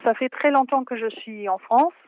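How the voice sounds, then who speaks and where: A woman from Germany, native German speaker, answering interview questions in French with a German accent, hesitant and full of filler pauses. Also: a little high, no echo. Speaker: photography historian and translator. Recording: tinny dry phone line.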